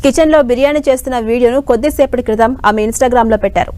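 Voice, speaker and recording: Female news narrator, quick and fairly high. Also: darkish tone. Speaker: news voice-over presenter.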